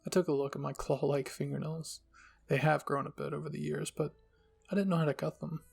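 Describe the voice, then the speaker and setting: A man reads a first-person story as its narrator. His voice is husky with plenty of fry and sits a little high, with faint music behind him. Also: little pitch movement, fairly quick, darkish tone. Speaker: channel host and story narrator.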